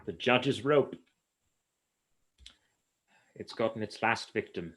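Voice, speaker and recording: Grave male horror narrator for a radio play, acted by a man, slow and solemn, hushed dread. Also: fairly low pitch, lively intonation, pitched below the performer's own voice, heavily acted. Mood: grim, horrified.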